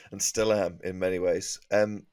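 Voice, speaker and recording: A man, a comedy podcast guest, riffing in a halting, mock-exasperated manner in casual panel chat. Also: deep voice, little pitch movement, a little mellow, a little hiss or hum.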